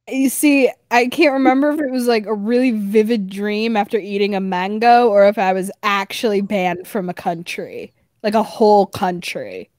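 A woman's voice: mid-range voice, unhurried, darkish tone, no room sound, clean studio sound. A woman playing a tabletop game, joking and teasing in crude, casual banter.

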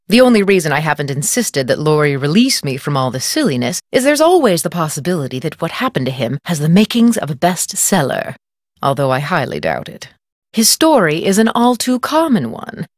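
Haughty, theatrical lady novelist, swooping pitch. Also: no echo, studio quality. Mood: dismissive, morbid relish. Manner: theatrical, condescending, arch. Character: haughty, gossipy middle-aged female mystery novelist. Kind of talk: video game character acting.

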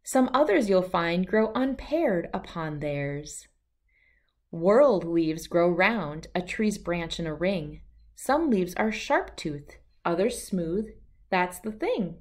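A woman's voice: lowish voice, very animated, takes it slowly. A middle-aged woman reading a rhyming children's picture book aloud in a sing-song way that follows the verse.

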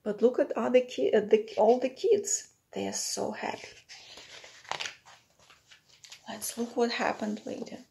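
A woman's voice: on the high side, steady tone, normal speed, creaky, mellow, slight background noise. A woman in her thirties or forties reading a picture book to children as a teacher, with simple explanatory asides.

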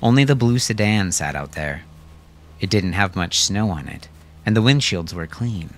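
A man's voice: low voice, expressive, moderate pace, a little hiss or hum. A man reading scripted audiobook fiction as its narrator.

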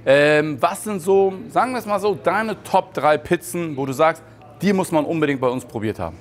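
A man's voice: fairly high pitch, expressive, normal speed. A man in his forties presenting and interviewing casually on location as the host. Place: indoors in a restaurant bar. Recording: clear background noise, restaurant ambience.

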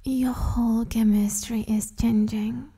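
A woman leads a guided meditation in a very dark, velvety voice that often slips toward a whisper. Her delivery is slow and soothing. She keeps her intonation even as she gently gives instructions. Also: fairly high pitch. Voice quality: soft, breathy, half-whispered.

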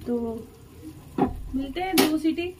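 A woman's voice: high-pitched, lively intonation, slow pace. A woman hosting a cooking video, chatting through each step for her viewers in her home kitchen. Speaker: cooking channel host. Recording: noisy home recording.

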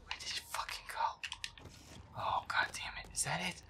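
A man muttering irritably and swearing to himself as he gives commentary on a horror game, his voice breathy and often half-whispered. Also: normal speed. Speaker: gamer playing in character.

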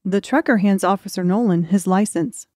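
Female audiobook narrator: medium pitch, scripted storytelling, dry studio-like sound. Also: normal speed, a little mellow, dry recording.

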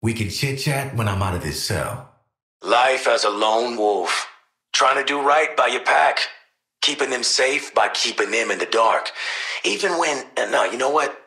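A gruff American hired gun from an action game, a man who is cocky and tired of it all. His wisecracks are streetwise and blunt. The pitch moves around a great deal, and the tone is bright and hard-edged. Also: expressive, unhurried, dry recording, exaggerated voice. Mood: wry, off-duty, then earnest, resolute. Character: cocky, gruff mercenary sharpshooter, adult man, world-weary. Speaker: voice actor. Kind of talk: video game voice lines.

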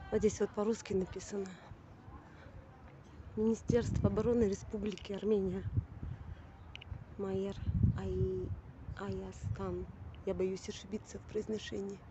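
A woman in her thirties filming a casual travel vlog at arm's length, chatty and quick to laugh and exclaim. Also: mid-range voice, flat delivery. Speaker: vlogger. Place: outdoors in a city and indoors in a museum. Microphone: handheld camera at arm's length. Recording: dull lo-fi camera audio with street noise.

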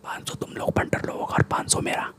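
A man's voice: fast, natural voice. Man acting a whispering Mumbai street gangster. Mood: threatening. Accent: Mumbai Hindi street slang. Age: young adult. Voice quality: breathy whisper. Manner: slangy, threatening, boastful. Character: swaggering, bullying male Mumbai street gangster. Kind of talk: whispered ASMR roleplay. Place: small room indoors. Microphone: close desktop condenser microphone.

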